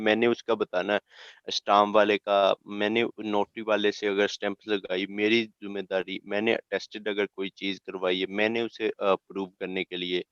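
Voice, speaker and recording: A man's voice: lowish voice, clear, resonant tone, no room sound, dull audio. A man in a classroom discussion building an argument hesitantly, with many fillers. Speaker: student.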